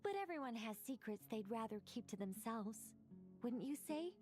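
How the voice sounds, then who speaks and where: Woman voicing a high-pitched, eloquent angel guide, music behind. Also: expressive, a little mellow, natural voice. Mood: composed, persuasive. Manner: articulate, formal, measured, philosophical. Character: wise, eloquent, composed female angel guide. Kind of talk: scripted video game voice acting.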